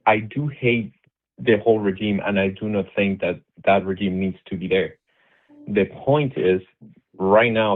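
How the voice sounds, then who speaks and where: Insistent man on a tinny phone line. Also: deep voice. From Venezuela. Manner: insistent, talks over others, many restarts. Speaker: caller. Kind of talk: heated call-in debate.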